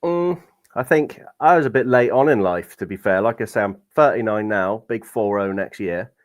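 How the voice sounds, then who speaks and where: A laid-back British man in his late thirties talks in a low voice with a dry sense of humor. The sound is close and dry, with no room echo. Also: moderate pace. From United Kingdom. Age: 30s. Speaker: podcast co-host. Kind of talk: casual chat.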